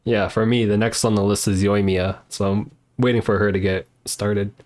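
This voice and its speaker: A man's voice: lowish voice, flat delivery, moderate pace, a little mellow. A man chatting casually as he live-streams a video game. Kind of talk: casual live-stream chat, reading game dialogue aloud.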